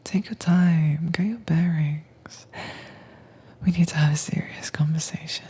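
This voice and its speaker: A woman performing a whispered roleplay as a calm, commanding agent who keeps a werewolf caged, speaking in a breathy, teasing manner close to the mic. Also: low-pitched. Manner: cool, teasing, unhurried. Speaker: audio drama voice actress. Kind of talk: soft-spoken whispered roleplay audio.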